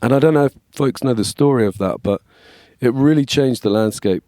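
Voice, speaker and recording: An English man in his forties with a slightly scratchy voice, chatting casually as a podcast guest and telling stories in a relaxed way. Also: mid-range voice, fairly quick, dry recording, clean studio sound. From England. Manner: relaxed, storytelling, frequent "yeah, man". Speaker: guest, worship label manager. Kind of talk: casual conversation.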